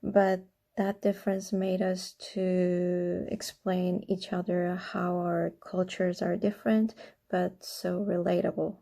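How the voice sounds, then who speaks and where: A young woman from Korea, a native Korean speaker, giving an earnest, measured testimonial about her mentor. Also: fairly low pitch, little pitch movement, creaky, mellow. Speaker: mentee.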